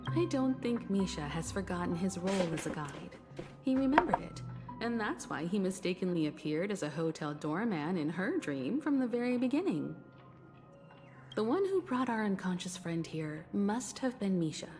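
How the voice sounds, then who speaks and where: A woman acting as a composed female companion in a story-driven game. Her voice moves in lively, expressive contours while she reasons things through thoughtfully. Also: medium pitch, normal speed, music in the background, natural voice. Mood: pensive.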